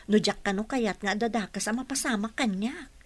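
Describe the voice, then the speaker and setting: A woman's voice: mid-range voice, a little fast, close to an everyday voice. A woman acting in a radio drama as a friendly, earnest young nurse and therapist. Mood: confiding.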